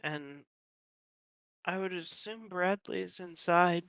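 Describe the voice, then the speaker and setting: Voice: medium pitch, slow pace, creaky, fairly bright tone, no room sound, pitched above the performer's own voice. A performer voicing a mild, polite elf traveler with a hesitant, understated way of talking. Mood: mild, unsure.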